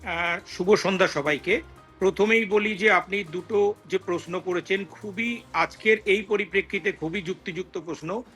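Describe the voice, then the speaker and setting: Older guy, high sharp voice, hesitant expert explaining on tv, english terms mixed in. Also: normal speed. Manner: hesitant, repeats phrases, mixes in English terms. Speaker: retired investigator, expert panel guest. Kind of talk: explanatory expert commentary on TV news. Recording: faint music under the voice.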